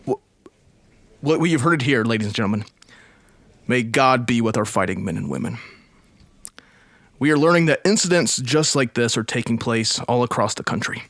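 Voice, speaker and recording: An actor as a grave radio news announcer. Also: male voice, mid-range voice, lively intonation, moderate pace, pauses often, a bit bright, no echo. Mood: grave, urgent. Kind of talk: 1930s-style radio drama news broadcast.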